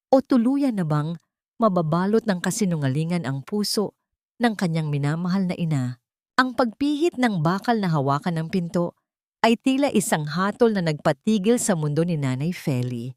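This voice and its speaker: Low-voiced woman, solemn story narrator. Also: darkish tone, dry recording, studio quality. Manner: slow, solemn, measured. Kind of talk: scripted dramatic narration.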